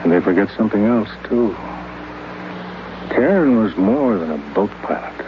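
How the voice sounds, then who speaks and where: A man's voice: on the low side, animated, normal speed, background noise, close to an everyday voice. An actor playing a world-weary old fat man who narrates his own story in a 1950s radio drama, hard-boiled and wry. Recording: muffled old radio broadcast with music.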